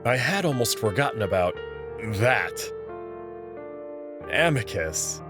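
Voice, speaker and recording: A man reading a visual novel aloud in the first person, in an expressive, nervous way. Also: medium pitch, slow. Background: background music.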